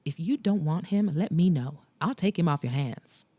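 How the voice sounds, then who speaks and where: Woman reading as a teasing girlfriend type, playful, mellow voice, dry close sound. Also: deep voice, animated, moderate pace, no echo.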